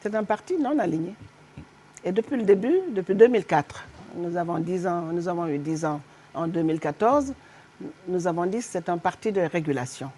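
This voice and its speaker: A middle-aged woman from Senegal, a politician answering questions as a guest in a TV studio interview, animated and emphatic, with frequent hesitations. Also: on the low side, fairly quick, husky. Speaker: guest politician, party honorary president.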